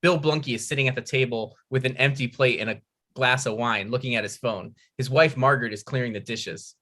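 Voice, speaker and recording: A man's voice: medium pitch, fairly quick, sharp tone. A man reading out scripted stage directions as narrator at a comedy table read.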